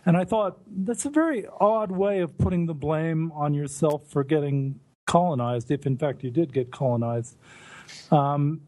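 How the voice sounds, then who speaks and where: American male podcast host, higher voice, chatty storyteller. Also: moderate pace, darkish tone, low-quality recording. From United States. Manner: chatty, stumbling starts, amused. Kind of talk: casual podcast conversation.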